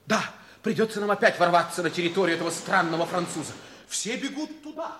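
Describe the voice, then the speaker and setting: An actor playing an earnest young fiancé in a theatrical 1960s Soviet radio play. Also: male voice, sits high, expressive, fast talker. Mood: urgent. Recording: noisy old 1960s radio recording.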